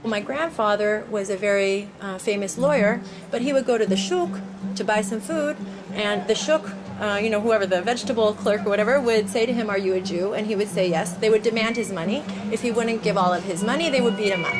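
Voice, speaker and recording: A woman telling a family story in a documentary interview, animated and casual with fillers. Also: medium pitch, brisk, background noise.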